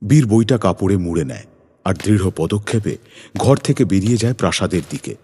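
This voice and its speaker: A man's voice: low-pitched, lively intonation, a little fast, warm tone, husky. A man narrating a scripted suspense story in a dramatic, suspenseful manner. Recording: music behind the voice.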